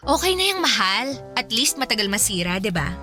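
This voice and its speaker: A woman's voice: on the high side, sing-song, brisk, pushed and bright, faint music behind. An actress playing a young, breezy, generous girlfriend in a Filipino radio drama, with a playful, coaxing, casual way of talking. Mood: teasing, defensive.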